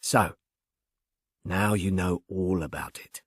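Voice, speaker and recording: A man's voice: sits low, slow, husky, dry recording, clean studio sound, voice pushed down, exaggerated voice. A man voicing a smug, insane murderer who confesses in pompous, precise, wordy language, as in an audiobook. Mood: smug, matter-of-fact. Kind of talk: audiobook first-person confession.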